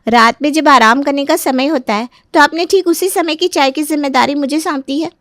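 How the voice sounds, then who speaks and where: A woman's voice: sits high, a little fast, a bit bright, no room sound, clean studio sound. A woman playing a defiant, crafty young daughter-in-law who argues and complains in a dramatized moral story. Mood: indignant. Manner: argumentative, protesting.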